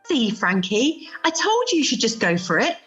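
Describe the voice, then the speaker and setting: A woman's voice: fairly high pitch, big pitch swings, moderate pace, a bit bright. A woman playing a cheeky, bossy, loyal friend in an amateur radio play, recorded over a video call. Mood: bossy, supportive. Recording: dull home video-call recording with music.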